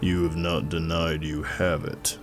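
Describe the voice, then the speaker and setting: A man's voice: rumbling low, little pitch movement, a little slow, over music. A man doing a terse, grim warrior. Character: tall scarred male warrior, grim and terse.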